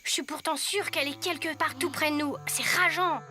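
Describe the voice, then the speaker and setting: A woman's voice: sits high, fairly even intonation, brisk, bright, forceful tone. A woman voicing a plucky, kind young boy hero in a cartoon, earnest and determined. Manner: earnest, eager. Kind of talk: French cartoon voice acting. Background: faint music.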